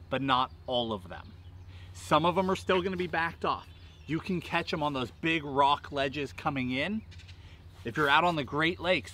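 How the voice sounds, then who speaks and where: A man's voice: fairly high pitch, fairly bright tone, a little hiss or hum. A man in his thirties hosting a fishing tutorial outdoors by a lake, talking emphatically with rhythmic repeated bursts. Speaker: fishing channel host. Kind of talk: energetic outdoor tackle tutorial. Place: outdoors at a lakeside park, seated. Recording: faint outdoor ambience behind voice.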